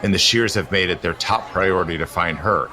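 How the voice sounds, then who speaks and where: A grave, secretive protector in a radio play. His voice is low and forceful, and he talks earnestly and briskly. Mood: grave explaining. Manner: earnest, brisk, matter-of-fact. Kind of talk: audio drama acting.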